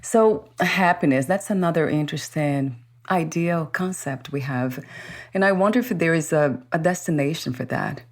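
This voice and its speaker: Low-voiced woman host. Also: moderate pace. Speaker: interview host. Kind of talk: interview questions.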